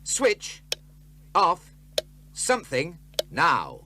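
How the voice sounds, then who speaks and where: A man's voice: on the high side, wildly swooping pitch, very slow, pushed and bright. A man reading a scripted public information appeal, instructive and emphatic. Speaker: public information film announcer. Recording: old film soundtrack, faint hum.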